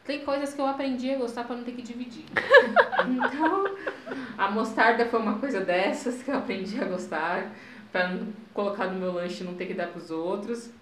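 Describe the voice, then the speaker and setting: A woman's voice: medium pitch, a little fast, very noisy. A young woman, co-hosting a casual podcast and telling anecdotes, acting out the voices of people she quotes. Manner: storytelling, acts out quoted voices. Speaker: podcast co-host. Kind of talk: casual chat. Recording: roomy room sound.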